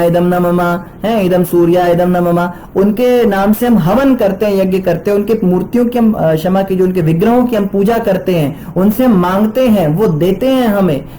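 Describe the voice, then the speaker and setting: A man's voice: high voice, warm tone, a little hiss or hum, poor audio. A man, likely in his twenties, giving a devotional scripture lecture in an explanatory way with rhetorical questions and everyday examples. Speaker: religious teacher giving a scripture class.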